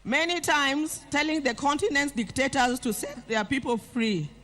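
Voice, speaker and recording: A woman's voice: high voice, normal speed, fairly bright tone. An older woman with a Kenyan accent reading a formal eulogy aloud. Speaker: eulogist at a funeral.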